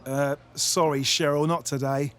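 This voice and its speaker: A man's voice: on the high side, little pitch movement, measured pace, fairly bright tone, clear, resonant tone, pitched below the performer's own voice. A man playing a smug, colloquial, cheerfully dismissive patriotic bloke in a satirical audio play. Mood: smugly cheerful.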